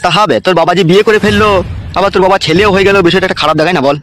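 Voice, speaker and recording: A man's voice: sits high, racing, a bit bright, faint music behind. A man voicing a crude, bossy leader who teases his friend in a comedy cartoon. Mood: teasing.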